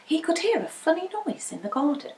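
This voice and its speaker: A woman reading a children's picture book aloud as a storyteller, with dramatic, deliberate emphasis. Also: high voice, very animated, darkish tone. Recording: roomy indoor echo.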